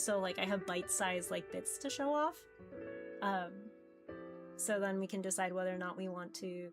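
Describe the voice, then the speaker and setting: A woman livestreaming visual novels, chatting casually in a rambling, halting way. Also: mid-range voice. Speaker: streamer playing visual novels. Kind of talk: casual livestream chat, reading game text aloud. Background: background music.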